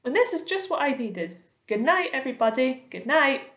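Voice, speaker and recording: A woman's voice: high voice, very animated, fairly bright tone, voice pushed up. A woman voicing a dignified, courteous old male grasshopper in a children's story, with a stately manner. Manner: slow, stately, scornful when slighted. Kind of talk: children's storybook read aloud. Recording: roomy, echoing indoor sound.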